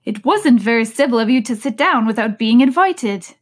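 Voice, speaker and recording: A woman voicing a prickly, argumentative male march hare with a loud, pert delivery. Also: fairly high pitch, animated, normal speed, breathy edge, voice pushed up. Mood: rude.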